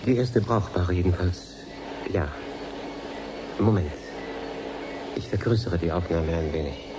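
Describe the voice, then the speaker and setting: An actor playing a matter-of-fact professor in a radio drama, explaining precisely and with self-assurance. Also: male voice, low-pitched, measured pace, noisy background. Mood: confident, explanatory. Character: matter-of-fact male professor running an experiment. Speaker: scientist. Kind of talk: 1980s German radio drama.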